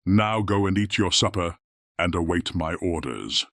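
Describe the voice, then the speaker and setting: A man's voice: deep voice, animated, unhurried, fairly bright tone, dry recording, clean studio sound, exaggerated voice. A man playing a grim, commanding beast in a dramatized fairy tale audiobook, speaking formally. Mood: stern, commanding.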